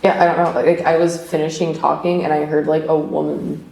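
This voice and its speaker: Young woman co-host, low, gravelly voice with vocal fry, relaxed filler-heavy explanations. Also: fairly quick, slight background noise. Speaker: paranormal investigator, co-host. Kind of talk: casual chat on a ghost hunt. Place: old basement room with echo. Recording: echoey basement room.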